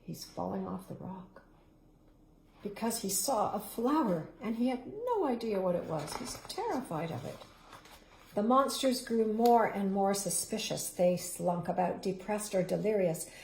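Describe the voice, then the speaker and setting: A middle-aged woman reading a picture book aloud, dramatic in the loud parts and hushed to a whisper in the quiet ones. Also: mid-range voice. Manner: expressive, dramatic rises and hushed, whispered endings. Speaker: storybook reader. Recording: roomy echo.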